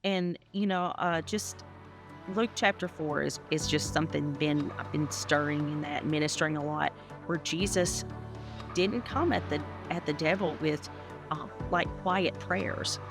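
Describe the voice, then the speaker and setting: A woman's voice: sits low, a little slow, music in the background. A woman interview guest giving casual personal testimony in an earnest, emphatic way.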